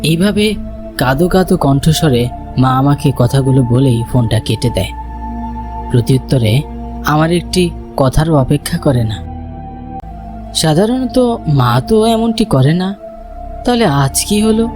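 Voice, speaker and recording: A man plays a homesick village son telling his own eerie tale. His slightly high voice moves up and down expressively, reflective and dramatic, with music clearly playing behind it. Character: homesick village son, worried, impatient and dismissive. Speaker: narrator and main character. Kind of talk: dramatized first-person story narration.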